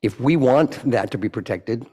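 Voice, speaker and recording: A man's voice: medium pitch, normal speed, mellow. A man presenting for a committee at a church meeting, answering questions in a plain-spoken, joking way.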